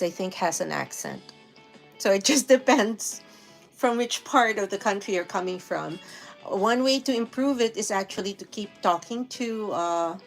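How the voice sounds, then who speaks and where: Filipina lady livestreaming, friendly and chatty, filipino accent, low music behind her. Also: vocal fry, low-quality recording. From Philippines. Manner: friendly, chatty, laughs often. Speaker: livestream host. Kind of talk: casual live stream chat, greeting viewers. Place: home in New York.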